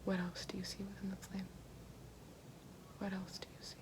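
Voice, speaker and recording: A woman playing a calm, gentle witch in an ASMR roleplay, whispering slowly and soothingly right at the mic and repeating soft praise. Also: mid-range voice, lightly acted. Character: calm gentle female witch guiding elements. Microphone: close binaural-style mic. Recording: noisy hiss behind voice.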